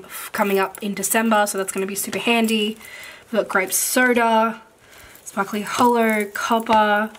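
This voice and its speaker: A woman whose voice is croaky and a little rough from recent voice loss. She talks in a loose, chatty way with plenty of fillers and the odd casual swear. Her pitch is about average, with a faint hiss of noise under the recording. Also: a bit bright. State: croaky, recovering from losing her voice. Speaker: planner vlogger. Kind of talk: casual haul chat while sorting stickers. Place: at a desk indoors, filming hands from above.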